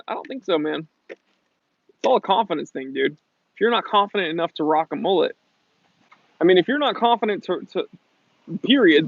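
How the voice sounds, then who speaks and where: A man's voice: high voice, dry recording. An American man from New England hosting a casual livestream Q&A, chatting in a slangy, jokey, rambling way. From New England, USA. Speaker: livestream host. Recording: muffled lo-fi audio.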